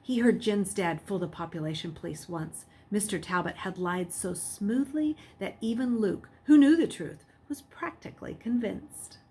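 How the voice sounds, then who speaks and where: A woman giving an expressive audiobook narration, reading a suspenseful story aloud as its narrator. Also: mid-range voice, normal speed, few pauses, breathy edge.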